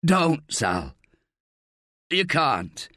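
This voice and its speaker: A dying man, weary and resigned, speaking slowly with an earnest urgency, as a reader puts him on. The voice is a little raised and animated, close and dry. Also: on the high side, no room sound, pitched above the performer's own voice, heavily acted. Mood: urgent, resigned.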